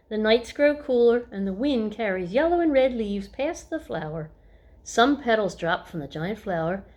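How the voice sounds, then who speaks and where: Expressive woman reading a children's storybook. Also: mid-range voice. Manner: expressive, emphatic storytelling with deliberate pauses and hushed asides. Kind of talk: read-aloud picture book narration with asides to young children.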